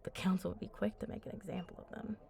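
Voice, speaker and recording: A woman playing a flirty, confident vampire in an intimate ASMR roleplay, talking softly and playfully up close and often dropping into breathy murmurs. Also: mid-range voice, little pitch movement, fast talker, a little hiss or hum, natural voice. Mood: confiding, flirty. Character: flirty, teasing, confident female vampire at a bar. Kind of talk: ASMR roleplay, intimate one-sided chat.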